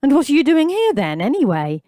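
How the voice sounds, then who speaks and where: A woman's voice: fairly high pitch, sing-song, drawn-out words, dark tone, dry recording, studio quality, pitched above the performer's own voice, exaggerated voice. A woman voicing a jeering young male kitchen worker who mocks in a camp, posh put-on voice. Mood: mocking.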